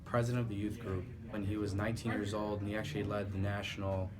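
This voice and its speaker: A nineteen-year-old man answering interview questions in a relaxed, easygoing way, often saying "actually" and "like". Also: lowish voice, fast. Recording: crowd chatter behind.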